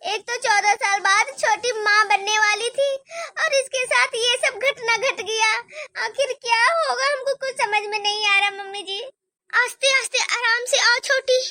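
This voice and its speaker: A woman's voice: extremely high pitch, bright, forceful tone, dry recording, studio quality, full character voice. A woman playing a scheming elder daughter-in-law who fakes sympathy and whispers sly asides to the viewers in a homemade family drama skit.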